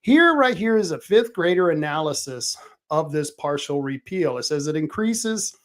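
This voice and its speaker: A middle-aged man with a somewhat high voice hosts a political livestream. He talks casually, with many restarts and fillers. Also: very animated, normal speed, a bit bright. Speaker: political talk show host.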